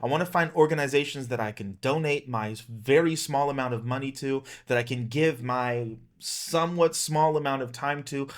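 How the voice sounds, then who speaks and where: A man in his thirties speaks as a vlogger in an earnest monologue. His mid-pitched voice is emphatic and impassioned, with a plain-spoken way of putting things. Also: moderate pace, few pauses. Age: 30s. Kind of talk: earnest monologue to camera, personal rallying speech.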